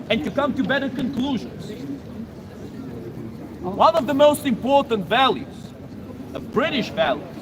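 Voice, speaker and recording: A man giving an impromptu speech as host of an outdoor rally, in an emphatic, repetitive, declamatory way. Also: extremely high pitch. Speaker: rally speaker, event host. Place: outdoors in an urban street. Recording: noisy outdoor street crowd.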